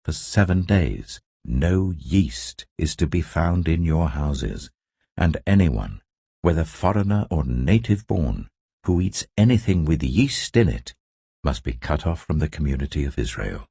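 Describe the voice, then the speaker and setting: A man giving a scripted, solemn and deliberate reading of scripture as a narrator, with emphatic phrasing. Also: a bass voice, very animated, creaky, dark tone, husky, no echo. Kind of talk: scripted audio Bible reading.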